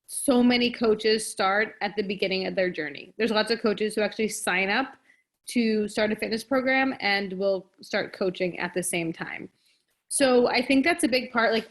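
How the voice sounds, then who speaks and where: A woman's voice: mid-range voice, normal speed. Woman reading slides with ums. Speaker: fitness coach.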